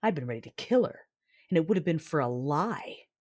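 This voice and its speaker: An actress playing a vengeful female Sith lord, narrating her own story in an audio drama with intense, deliberate delivery. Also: low-pitched, animated, darkish tone. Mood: controlled fury. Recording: music behind the voice.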